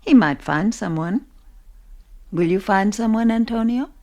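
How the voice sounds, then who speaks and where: A woman's voice: medium pitch, measured pace, a little mellow, close to an everyday voice. A woman playing a long-suffering, middle-aged working mother in a radio drama, narrating and speaking in scenes. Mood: gentle, probing.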